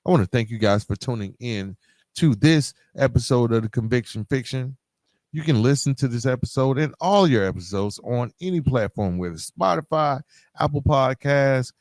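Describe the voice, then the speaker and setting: A man's voice: medium pitch, animated, normal speed, darkish tone, no room sound, studio quality. A man hosting a podcast solo, speaking in an earnest, emphatic motivational style straight to his listeners, repeating key phrases. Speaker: podcast host.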